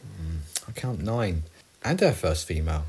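Actor as a very deep-voiced deadpan husband. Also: male voice, unhurried, slightly rough, heavily acted. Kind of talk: audio drama dialogue.

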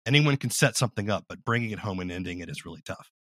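A man's voice: fairly low pitch, lively intonation, rapid-fire, fairly bright tone, no room sound, studio quality. A man hosting a casual interview, talking in an explanatory, conversational way.

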